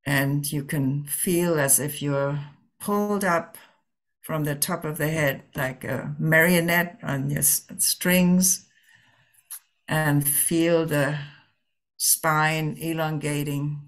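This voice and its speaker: Female meditation guide, low register, unhurried and halting, gently offering instructions with plenty of space between phrases. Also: steady tone. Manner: gentle, permissive, halting with long pauses. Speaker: Zen teacher leading a guided meditation.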